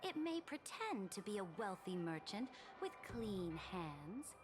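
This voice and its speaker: An actress playing a proud, youthful empress in a video game, speaking in formal, haughty rhetoric about power and conquest. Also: female voice, mid-range voice, very animated, unhurried, music in the background. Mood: scornful, defiant. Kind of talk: scripted video game voice acting.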